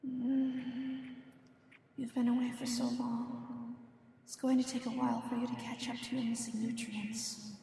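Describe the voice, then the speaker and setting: A woman voicing a gentle, motherly furred creature in an amateur audio play, hushing and reassuring softly. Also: fairly high pitch, flat delivery, vocal fry, slight background noise, voice pushed up. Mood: tender, relieved. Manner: slow, soft hushing, reassuring. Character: gentle, motherly female furred long-eared creature, soothing and knowing. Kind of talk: amateur audio drama acting. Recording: very echoey, distant, long reverb.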